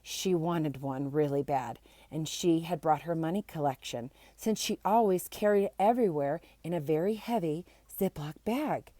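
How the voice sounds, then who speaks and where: A woman's voice: deep voice, moderate pace, mellow, no room sound. Woman reading a children's story aloud, close dry sound. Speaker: amateur storybook reader. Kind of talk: expressive read-aloud of a children's book.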